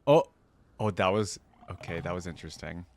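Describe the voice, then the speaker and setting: A man's voice: low-pitched, moderate pace, vocal fry, darkish tone, a little hiss or hum. A man giving casual, off-the-cuff reaction commentary as the host of a video. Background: music from videos being watched.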